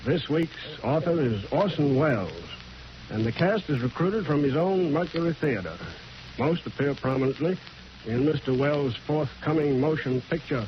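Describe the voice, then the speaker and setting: Higher-voiced man, formal vintage radio announcer. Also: slight background noise. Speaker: announcer and chairman. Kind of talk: formal 1940s radio introduction. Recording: muffled 1940s radio recording. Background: music.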